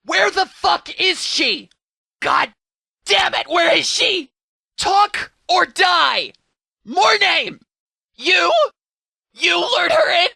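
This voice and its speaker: An actor playing a commanding post-apocalyptic sheriff in a video game, speaking in a clipped, formal, authoritative way. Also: male voice, extremely high pitch, sing-song, drawn-out words, creaky, pauses often, bright, forceful tone, dry recording, clean studio sound, exaggerated voice. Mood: furious.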